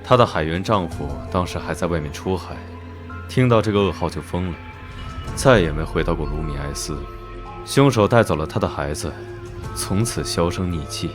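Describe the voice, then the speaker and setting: A man playing an aloof, commanding nobleman in an animated drama, measured and detached with a dry, teasing edge. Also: sits low, music in the background. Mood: cool, grave. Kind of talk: Chinese animated drama voice acting.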